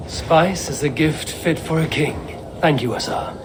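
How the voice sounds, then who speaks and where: An actor playing a composed, purposeful Viking warrior hero in a video game, speaking in a measured, direct way. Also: male voice, fairly high pitch, background noise, natural voice. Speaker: game protagonist. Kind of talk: video game cutscene acting.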